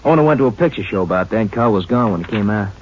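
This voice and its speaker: A man playing a straightforward, eager highway patrolman giving brisk, factual reports in a 1950s radio crime drama. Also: animated, close to an everyday voice. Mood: keen, reporting. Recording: muffled, noisy 1950s radio recording.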